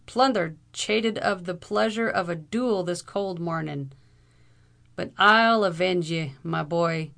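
A woman narrator plays a furious Irish captain from an old adventure tale, spoiling for a duel. He bellows with bombastic indignation, pushing loud and high, with a gravelly creak. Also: heavily acted. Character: fierce, blustering Irish army captain, hot for duels.

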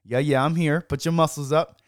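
A young man hosting a casual wine-tasting podcast, hoarse from yelling, talking loosely with lots of fillers and swearing. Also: on the high side, fairly even intonation, a little fast, very noisy. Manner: loose and chatty, many "like" fillers, swears freely. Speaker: podcast host.

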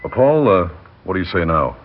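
Low-voiced, dogged radio police lieutenant. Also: male voice, sing-song, a little hiss or hum. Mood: probing. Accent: American. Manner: measured, firm, dryly insistent. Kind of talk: 1960s radio drama acting. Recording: dull 1960s radio recording with music.

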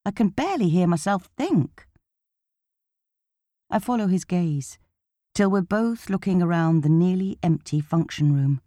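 A woman reading a first-person novel as an audiobook narrator, in an expressive, conversational storytelling way. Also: lowish voice, unhurried, long pauses, no room sound, studio quality.

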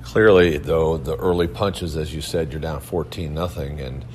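Deep-voiced male sports show host. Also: fairly even intonation, normal speed, a little hiss or hum. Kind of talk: broadcast hosting and interview.

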